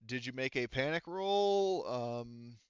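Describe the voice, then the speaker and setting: A man's voice: mid-range voice, expressive, takes it slowly, smooth, dry recording, highs cut off. A man talking casually and informally, with some swearing, as game master of a tabletop roleplaying game.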